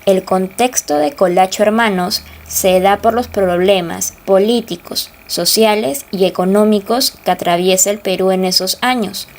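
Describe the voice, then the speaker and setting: A young woman presents as a student. She reads an explanatory script in a mid-pitched voice. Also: measured pace, few pauses. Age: young adult. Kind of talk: scripted expository narration.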